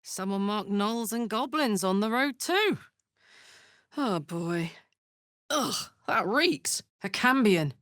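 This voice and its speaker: Brash, big-hearted British warrior woman for a fantasy game. Blunt, earthy delivery, mid-pitched voice, very dry recording. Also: animated, slow, a bit bright, no echo. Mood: alert, matter-of-fact. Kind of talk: fantasy video game voice acting.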